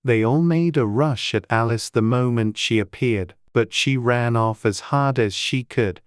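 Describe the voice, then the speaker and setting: An unhurried storyteller reading a classic tale aloud, slow and measured with gentle expression. The voice sits at a middle pitch and sounds dry and close to the mic. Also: clean tone, no echo. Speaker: audiobook narrator. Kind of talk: scripted storybook narration.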